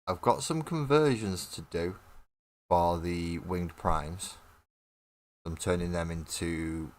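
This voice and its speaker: Brief: low-voiced man, casual stream host, bit of a cough, chats with viewers. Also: slow, slight background noise. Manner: chatty, laughs often, talks to viewers in chat. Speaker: mini painting livestream host. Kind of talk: casual chat while building models.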